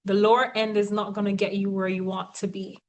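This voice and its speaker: A woman's voice: medium pitch, little pitch movement, a little fast. A woman coach giving a motivational teaching talk, speaking emphatically and often checking in with her listeners.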